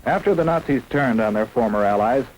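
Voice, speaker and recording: An American man delivers solemn documentary narration in the style of a mid-century propaganda film. His voice sits at a middling pitch, with emphatic, declamatory phrasing. Light noise from an old film soundtrack runs through the recording. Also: expressive, fairly quick, darkish tone, rough recording.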